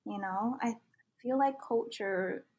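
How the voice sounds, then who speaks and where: A woman's voice: a little high, slow pace, darkish tone, husky. A young woman from Vietnam, a native Vietnamese speaker with a Vietnamese accent, speaking earnestly and reflectively as a podcast guest. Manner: earnest, reflective, uses many fillers. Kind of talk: casual conversation.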